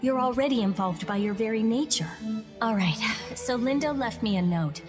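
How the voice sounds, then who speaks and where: An actress playing a caring, worried young woman soldier in a game dub, speaking gently and earnestly. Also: fairly high pitch, animated, moderate pace, close to an everyday voice. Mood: wistful, determined. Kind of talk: anime game English dub acting. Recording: reverberant, music behind.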